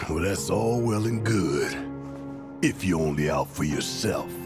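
A man playing a big, fiery, righteous militant in a video game, with a gruff American voice and a bombastic, preachy manner. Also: low-pitched, lively intonation, slow pace. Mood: somber, bitter. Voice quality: gruff, gravelly. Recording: loud game music behind the voice.

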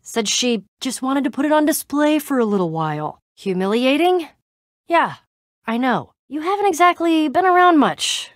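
A woman's voice: high voice, sing-song, normal speed, dry recording, studio quality. An actress playing a tough, guarded young woman adventurer in a video game, speaking casually with wry exasperation and hesitant trailing-off. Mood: uneasy, explaining.

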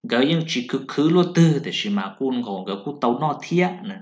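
A man's voice: medium pitch, animated, moderate pace. A man giving a rambling, casual talk about zodiac matches as a voice-over. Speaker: zodiac fortune talker. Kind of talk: casual spoken talk over a still slide. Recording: dull lo-fi sound.

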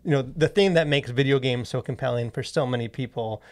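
Man, thirties, podcast co-host, casual discussion, halting and wordy, medium-pitched, creaky. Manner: halting, wordy, thinking aloud with frequent rephrasing. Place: small indoor podcast studio. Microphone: close boom-arm studio microphone.

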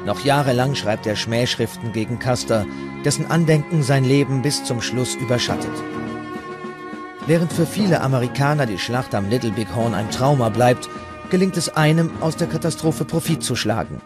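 A man's voice: medium pitch, lively intonation, normal speed. A man reading scripted documentary narration as the narrator. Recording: music playing behind the voice. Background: music.

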